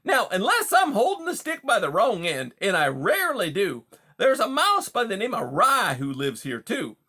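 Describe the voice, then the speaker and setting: A huge male beaver from a storybook, pitched high and swooping widely. He is a pushy, smooth-talking bully, brash and folksy, and he bulldozes through every conversation. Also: wildly swooping pitch, moderate pace, bright, forceful tone, heavily acted. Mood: brash, interrupting. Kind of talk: audiobook character dialogue.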